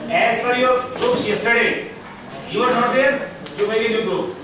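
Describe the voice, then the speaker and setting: A man coordinating a class, giving brisk, short instructions. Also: very high voice, steady tone. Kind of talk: organizing a classroom. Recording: echoey hall, voice far from mic, background hiss.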